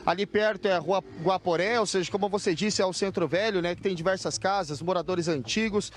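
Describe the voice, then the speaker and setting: A man's voice: sits high, fairly quick. A Brazilian man reporting live for TV news from a city street, describing the scene as he points things out. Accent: Brazilian Portuguese. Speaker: field reporter.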